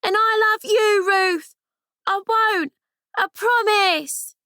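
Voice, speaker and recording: A woman's voice: high-pitched, unhurried, a bit bright, no echo, clean studio sound, voice pushed up. A woman voicing a frightened, tearful young orphan girl. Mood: shouting.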